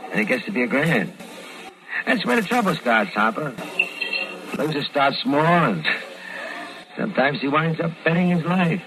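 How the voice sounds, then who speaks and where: A blunt, streetwise bookie in a 1950s radio drama. He talks in short, hard lines. His voice is a plain middle pitch. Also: rough recording. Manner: curt, streetwise. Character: tough, blunt adult male bookie.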